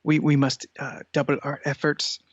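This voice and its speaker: A man playing a self-important, scheming cat in an improvised comedy interview, speaking in a halting, deliberate way. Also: on the high side, flat delivery, no room sound, lo-fi sound, natural voice. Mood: pompous, scheming.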